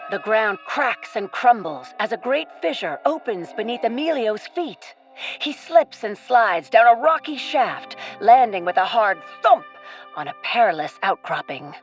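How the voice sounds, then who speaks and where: A woman's voice: on the high side, lively intonation, unhurried. A woman narrator reading scripted adventure storytelling in a theatrical, literary manner. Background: music.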